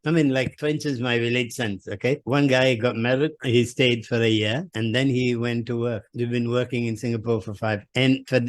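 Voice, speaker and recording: A man's voice: medium pitch, no room sound. A man from Malaysia giving spiritual teaching over a video call, emphatic, often checking in with "okay?" and restarting words mid-way. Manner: emphatic, repeats "okay?" often, self-corrects mid-word.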